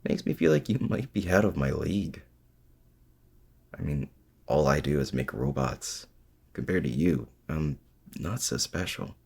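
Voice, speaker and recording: A man playing a feared but self-doubting supervillain, confiding casually and haltingly in a heroic rival in an intimate ASMR roleplay. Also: sing-song, darkish tone, full character voice. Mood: admiring, self-doubting. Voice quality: very deep, gravelly. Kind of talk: intimate ASMR roleplay audio drama, addressing the listener.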